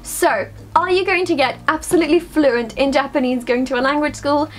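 High-voiced chatty Swedish-native woman vlogger. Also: fairly quick, soft background music. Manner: chatty, lots of fillers. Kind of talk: casual chat to camera. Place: small bedroom, indoors.

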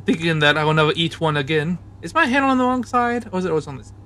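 A man's voice: fairly high pitch, animated, fast talker, fairly bright tone. A man voicing a dramatic, scatterbrained embodiment of lust in an improvised comedy game dub, rambling and stammering. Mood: confused, rambling. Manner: rambling, stammering, melodramatic wailing.

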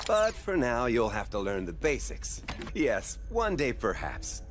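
An actor playing a friendly explorer father on a spaceship in an animated film, with an encouraging, instructive manner. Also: medium pitch, a little slow. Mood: patient, instructive. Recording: music behind the voice.

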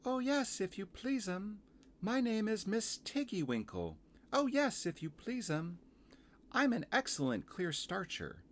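A man's voice: high voice, big pitch swings, unhurried, voice pushed up. A man voicing a small, stout female hedgehog washerwoman in a children's storybook, fussy, chatty and eager to please. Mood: eager, deferential. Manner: deferential, rustic servant phrasing, chatty.

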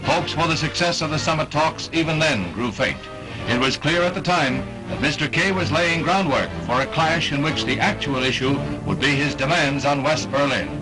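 A man's voice: fairly high pitch, moderate pace, rough recording. A man with an American accent narrating a mid-century newsreel in a formal, dramatic announcer style. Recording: old newsreel soundtrack with loud music.

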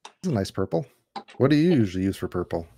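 A man's voice: fairly low pitch, big pitch swings, fast, dark tone, no echo, studio quality. A man co-hosting a live stream in a casual, chatty way. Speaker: co-host. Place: indoors on a video call. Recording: clear background noise, clicks.

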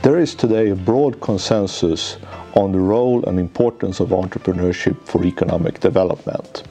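A man in his forties, a managing director and economics professor, giving a formal, measured scripted presentation in an office lounge. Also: low-pitched. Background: faint background music.

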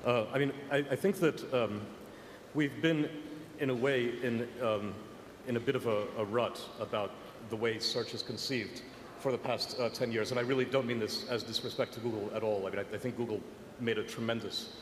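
A man's voice: mid-range voice, steady tone, moderate pace. A man speaking energetically on a conference panel, with many fillers. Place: large conference hall. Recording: echoey conference hall, faint noise.

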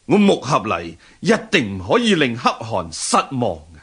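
An actor playing a commanding Mongol general in a Cantonese radio drama, with a Hong Kong accent and a declamatory, imperious manner. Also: male voice, medium pitch, wildly swooping pitch, normal speed, fairly bright tone, breathy edge, heavily acted. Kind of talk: historical radio drama acting.

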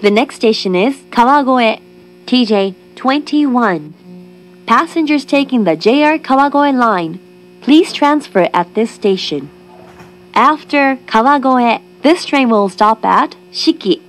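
A woman reading formal, clearly phrased scripted train announcements, recorded from a carriage speaker. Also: fairly high pitch, expressive, very slow. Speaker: automated English train announcer.

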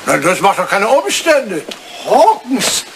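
An older man playing a doting, playful and impatient elderly English lord in a German radio play, halting between coaxing and bluster. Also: high voice, big pitch swings, gravelly, fairly bright tone, heavily acted. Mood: earnest. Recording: noisy vintage radio play recording.

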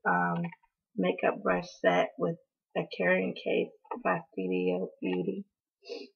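Hoarse, gravelly young woman vlogger. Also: on the low side. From North Carolina. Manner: chatty, apologetic, lots of ums, coughs now and then. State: hoarse from allergies. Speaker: beauty vlogger. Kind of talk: casual haul video. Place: small indoor room, in front of a door. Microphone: webcam at close range. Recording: tinny, phone-like sound.

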